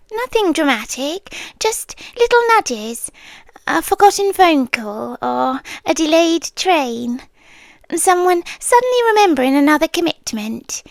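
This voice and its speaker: A British woman voicing a possessive female guardian angel in an ASMR roleplay, half-whispering softly and coaxingly into a close microphone. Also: high voice, no echo, full character voice. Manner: soft, intimate half-whispering; calm, coaxing and slow.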